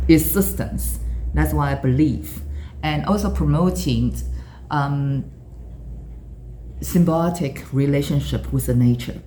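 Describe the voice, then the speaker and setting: A woman's voice: low-pitched, a little mellow, a little hiss or hum, low-quality recording. Earnest woman with a Taiwanese accent. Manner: earnest, reflective, with frequent restarts and repeated words. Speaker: interviewee. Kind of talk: personal storytelling.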